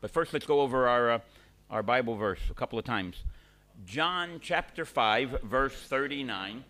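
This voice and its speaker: A middle-aged man, a pastor, leading a church service from a lectern, praying and giving announcements in a relaxed, conversational way with many pauses and restarts. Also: medium pitch. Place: small church hall, at a lectern. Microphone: lectern microphone.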